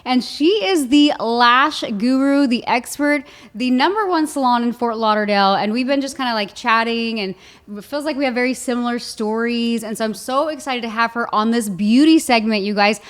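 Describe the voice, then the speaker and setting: A woman with a fairly high voice hosts a casual interview. She speaks effusively, in the loose conversational style of a podcast chat. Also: moderate pace. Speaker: podcast host. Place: her own home.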